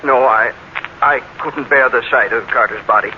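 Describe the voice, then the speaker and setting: A man's voice: medium pitch, normal speed, natural voice. An actor playing a meek, nervous little businessman in 1940s radio drama style, hesitant and earnest. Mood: nervous, insistent. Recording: noisy, muffled 1940s radio recording.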